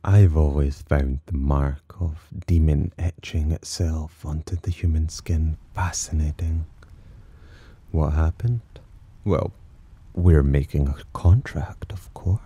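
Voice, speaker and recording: A man playing a sly, possessive demon in an ASMR roleplay, speaking in a smug, teasing, softly commanding manner with a husky voice. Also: slow pace, no room sound, heavily acted. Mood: smug, intrigued. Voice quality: very deep, dark, husky. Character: possessive, amused, sly male demon protector. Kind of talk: ASMR roleplay, slow intimate acting.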